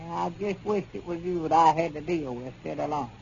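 High-voiced, touchy old country storekeeper. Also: male voice, brisk, creaky, mellow. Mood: indignant, threatening. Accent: rural Arkansas, Southern US. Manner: folksy, rustic drawl. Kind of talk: 1930s radio comedy acting. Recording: muffled, hissy 1930s radio recording.

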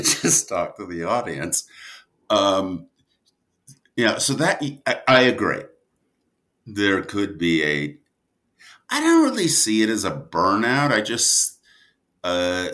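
A man speaking as an interview guest, a writer telling stories conversationally in an animated but halting manner. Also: on the low side. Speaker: guest, audio drama writer and producer.

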